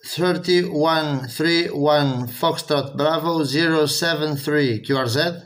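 Higher-pitched Portuguese-accented man on radio. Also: slow pace, background noise. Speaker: amateur radio operator. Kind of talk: radio contact calls with phonetic spelling.

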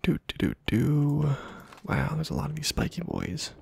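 A man giving casual, playful ASMR game commentary in a hushed, breathy half-whisper, muttering to himself close to the microphone. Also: fairly high pitch, huge pitch swings, moderate pace, slight background noise. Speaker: gaming video host.

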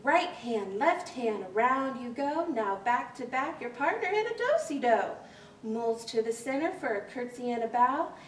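Lady reading as a bossy jolly crow calling a barn dance, loud and rhythmic, highish, echoey room. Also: sing-song, normal speed. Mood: jolly, commanding. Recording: echoey room, distant mic, faint hiss.

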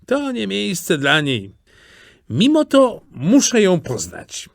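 A lovestruck little tin soldier from a storybook, voiced by a male narrator muses modestly to himself. The delivery is lively and varied in pitch, in a dry, close sound. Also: takes it slowly, gravelly, no echo, full character voice. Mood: wistful, resolved.

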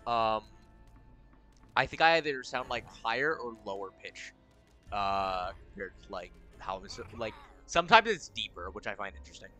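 Man streaming a game, deep voice, rambling, lively bright tone. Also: normal speed, faint music behind. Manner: rambling, stop-start, laughs often. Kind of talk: casual live-stream chat while gaming.

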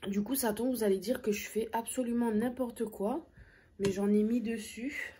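A young woman with a soft, mellow voice talks casually to the camera while she eats. She tells her story with plenty of hesitant fillers and pauses between bites. She is recorded up close in a small room. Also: fairly high pitch, fairly even intonation, a little hiss or hum. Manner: chatty, hesitant fillers, eating between sentences. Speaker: food vlogger.

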